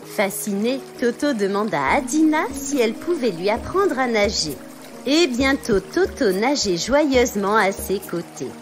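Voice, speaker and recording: A woman's voice: a little high, animated, measured pace. A woman narrating a scripted children's story as a storyteller. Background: music.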